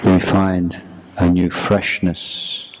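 Male meditation guide, deep and flat in delivery, deliberate short phrases, some noise on the recording. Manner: measured, deliberate, short phrases with long pauses. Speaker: meditation teacher, monk. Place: youth retreat. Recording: clearly noisy background.

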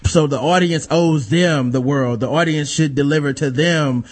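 A man hosting a podcast, talking in a laid-back, rambling way with lots of fillers and a high-pitched voice. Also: moderate pace. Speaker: podcast host. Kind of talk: casual podcast chat.

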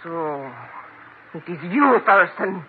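An actress playing a cold, scornful foreign villainess in a 1950s radio drama, commanding, with formal phrasing. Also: female voice, fairly low pitch, sing-song, takes it slowly. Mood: bitter, defiant. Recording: very noisy, tinny old radio recording.

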